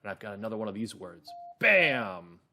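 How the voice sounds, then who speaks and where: A man teaching a children's reading lesson and narrating a story, clearly and deliberately, in an instructional way. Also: lowish voice, steady tone. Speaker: teacher, host.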